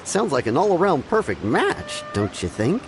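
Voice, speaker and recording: A good-natured townsman in a game. His voice is fairly high and swoops widely as he chats, with clear outdoor noise behind. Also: wildly swooping pitch, moderate pace. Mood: amused, easygoing. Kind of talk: video game voice acting.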